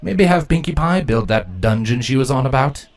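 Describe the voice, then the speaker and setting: A man voicing a good-natured, loyal pony guard captain with a warm, easygoing manner in a story reading. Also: medium pitch, animated, moderate pace, voice pushed up, close to an everyday voice. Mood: joking. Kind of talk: acted fan fiction dialogue.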